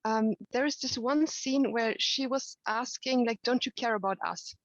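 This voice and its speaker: Woman fan, fairly high voice, dull dry video-call sound, earnest and giggly. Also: no echo. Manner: slow, earnest, giggly, stammering. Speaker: fan asking a question.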